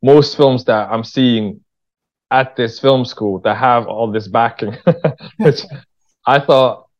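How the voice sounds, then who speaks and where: A British man talks as an interview guest in a loose, slangy, candid style. He tells his stories conversationally, with ordinary pitch. The recording is slightly dull and lo-fi. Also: slow pace. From England. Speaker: interview guest, writer-director. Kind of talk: casual conversational storytelling.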